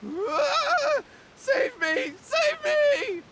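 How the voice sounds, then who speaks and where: A man's voice: extremely high pitch, very animated, extremely slow, noisy background, full character voice. An actor playing a nervous, guilty young villager in a video game, a cowardly man who stammers and gets flustered. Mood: terrified.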